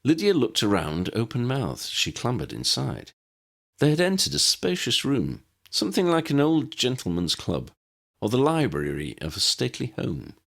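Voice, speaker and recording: A man's voice: mid-range voice, sing-song, normal speed, creaky, fairly bright tone. A man narrating written fiction in a scripted storytelling style. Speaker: audiobook narrator.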